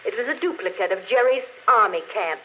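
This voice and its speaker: An actress playing a dead woman who confesses a murder on a phonograph record, speaking slowly in 1940s radio style. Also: mid-range voice, natural voice. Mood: coolly confessing. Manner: calm, deliberate confession, later frightened. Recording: dull old radio broadcast with faint hiss.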